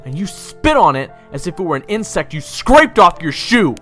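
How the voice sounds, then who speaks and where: A man's voice: sits high, sing-song, moderate pace, fairly bright tone, faint music behind. A man acting as a bitter, defiant young adventurer in an audio roleplay, with a biting, sarcastic manner.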